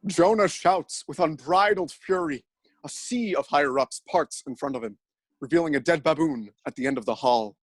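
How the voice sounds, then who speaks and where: A man narrates an absurdist tale with deadpan, mock-dramatic gravity. His voice sits a little high and the recording is dry and close. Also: moderate pace, dry recording.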